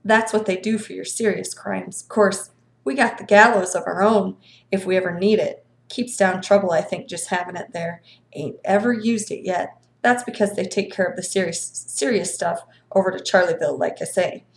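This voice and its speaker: A woman's voice: medium pitch, fairly even intonation, normal speed, gravelly, roomy sound, natural voice. A woman voicing a fat, sleepy male constable who wheezes and grumbles in a folksy rural drawl for a storybook reading. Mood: chatty. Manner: grumbling, long-winded, suspicious.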